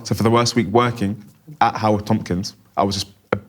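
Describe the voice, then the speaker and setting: A man interpreting for a deaf guest, speaking quickly in the first person. His voice sits fairly low, and he strings short clauses together in a steady flow. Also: crowd noise. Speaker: sign language interpreter voicing a deaf guest.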